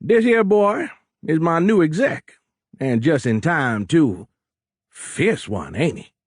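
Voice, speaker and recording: A man's voice: on the high side, lively intonation, slow, dry recording, clean studio sound, pitched above the performer's own voice. A man voicing a big, boisterous, domineering submarine captain with a Cajun accent and a folksy, blustering drawl in an audiobook. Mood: mocking, imperious.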